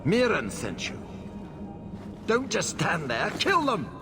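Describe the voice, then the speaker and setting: A suspicious nobleman in hiding in a fantasy game. His gravelly voice swings up and down as he makes agitated demands. Loud music sits behind him. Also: high voice, huge pitch swings, slow pace, exaggerated voice. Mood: alarmed. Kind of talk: video game cutscene acting.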